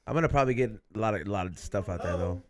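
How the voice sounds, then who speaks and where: A man's voice: fairly low pitch, expressive, very fast. A man hosting a podcast in casual, rambling chat, cursing freely and laughing often. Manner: laid-back, rambling, swears a lot. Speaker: podcast host.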